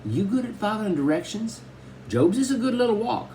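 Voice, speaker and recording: A tiny old Southern grandmother, put on by a man reading a storybook aloud, fussing over her family in a high, folksy drawl. She is doting but bossy, quick to hand out orders. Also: sing-song, dark tone, a little hiss or hum.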